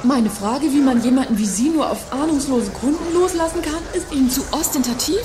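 An actress playing a prim, insecure and gullible shop customer in an audio drama, with a formal, earnest manner. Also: female voice, high-pitched, a little fast, background noise, close to an everyday voice. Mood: indignant. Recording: echoey room with music behind.

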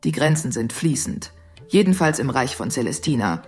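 A woman acting as a mystical female dream interpreter in a radio play, with swooping, lively pitch. She is domineering and talks down to her client in a teasing way, with music in the recording. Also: moderate pace, breathy edge, exaggerated voice. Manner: condescending, teasing. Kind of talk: German audio drama dialogue.

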